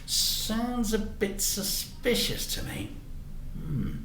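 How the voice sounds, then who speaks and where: A man narrating a children's story in an expressive, deliberate way. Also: high-pitched, vocal fry, slight background noise. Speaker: storyteller, teacher. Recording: roomy, echoey sound.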